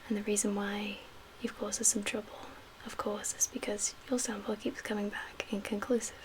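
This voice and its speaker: A woman playing a calm survivor camp leader in a soft-spoken ASMR roleplay, with a breathy, whispery voice and a gentle, measured, hushed manner. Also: medium pitch, close to an everyday voice, low-quality recording. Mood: calm, explaining. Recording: clear background ambient noise.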